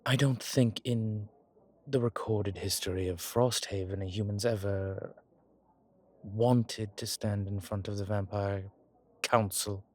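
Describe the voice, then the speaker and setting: A man playing an ancient vampire commander in an intimate audio roleplay, speaking with formal, old-fashioned diction and a measured, haughty manner. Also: lowish voice, steady tone. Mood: surprised, puzzled. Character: ancient male vampire commander, haughty yet tender to his companion. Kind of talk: intimate audio roleplay acting, one side of a conversation.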